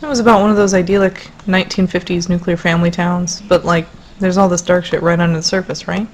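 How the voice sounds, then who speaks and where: A woman playing a jaded, sardonic young woman in a conversational audio drama, speaking bluntly and deadpan. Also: lowish voice, fast talker, a little hiss or hum, lightly acted. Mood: dry, casual. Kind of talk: low-key conversational audio drama acting.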